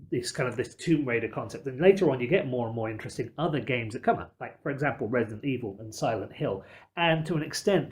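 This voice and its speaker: A man hosting a podcast discussion of films and games, talking in a wordy, analytical way. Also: mid-range voice. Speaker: podcast host. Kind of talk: film and game discussion.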